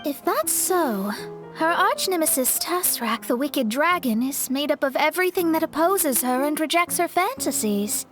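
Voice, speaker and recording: A high, lively-pitched young adventurer, thoughtful and questioning. It is video game dialogue with music clearly behind it. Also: female voice, normal speed. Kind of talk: video game dub acting.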